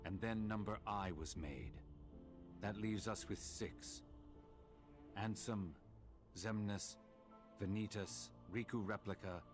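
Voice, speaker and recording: An actor playing a grave, dutiful villain strategist in a video game, speaking in a formal, stoic, measured manner. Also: male voice, sits low. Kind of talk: dramatic video game cutscene acting.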